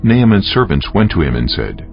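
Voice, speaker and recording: A man's voice: sits low, very animated, normal speed. A man narrating dramatized scripture from a script. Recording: dull lo-fi, music underneath.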